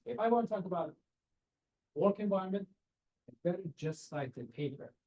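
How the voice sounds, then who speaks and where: Guy lecturing over a webcam call, bit husky, fairly high voice, earnest and direct. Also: animated, moderate pace, lo-fi sound. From Iran. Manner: earnest, direct, rhetorical questions, non-native phrasing. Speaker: professor giving a seminar. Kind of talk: career advice talk over slides. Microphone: webcam on a video call.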